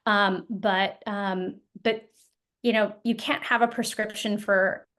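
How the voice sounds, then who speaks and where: Thoughtful woman, halting with many ums. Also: mid-range voice, fairly even intonation, a bit bright. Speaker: guest, founder of an occupational therapy group. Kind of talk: casual interview talk.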